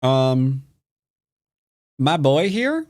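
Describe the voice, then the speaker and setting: A man's voice: mid-range voice, very animated, very slow, a little mellow. A man from the United States commenting live on his own Go game, playful and teasing toward his opponent. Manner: playful trash talk. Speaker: streamer and Go teacher.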